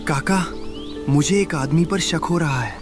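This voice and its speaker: A man playing a clever, earnest young prince in an animated story, with dramatic delivery. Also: on the high side, measured pace, music in the background, close to an everyday voice. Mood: suspicious. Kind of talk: animated moral story acting.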